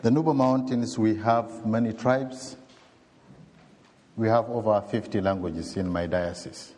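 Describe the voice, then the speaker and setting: Sudanese bishop with deep, halting English. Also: male voice, steady tone, darkish tone, in a large hall, slight background noise. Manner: slow, halting, heartfelt non-native English. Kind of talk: testimony in a church talk.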